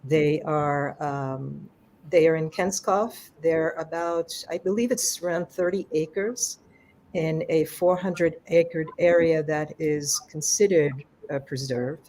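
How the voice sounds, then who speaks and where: A woman's voice: sits low, slow pace, warm tone, a little hiss or hum. A woman in a casual online conversation, speaking in an enthusiastic, rambling way about a colleague and partner work. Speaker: co-presenter.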